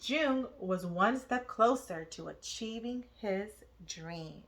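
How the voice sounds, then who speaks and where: A woman narrating a children's storybook aloud, with expressive, deliberate phrasing. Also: mid-range voice. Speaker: children's book read-aloud host.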